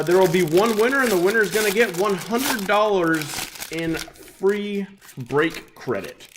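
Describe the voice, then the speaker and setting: A young adult man hosting a live sports card break, slightly raspy and chatty as he opens packs. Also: high-pitched, background noise. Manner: upbeat, chatty, talks while opening packs.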